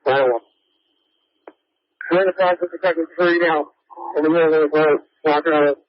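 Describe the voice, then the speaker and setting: A man's voice: on the high side, fairly even intonation, brisk, dull audio. A man dispatching emergency fire traffic over a two-way radio scanner feed, speaking in clipped, procedural phrases.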